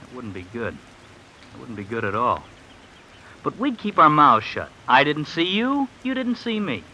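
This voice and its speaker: Young male schemer: very sharp, lively pitch, persuasive, vintage radio with rain. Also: a little slow, muffled recording, a little hiss or hum, full character voice. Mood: conspiratorial. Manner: persuasive, pressing. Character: insistent, scheming young man urging silence. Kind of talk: 1950s radio drama acting. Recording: old radio broadcast, rain behind.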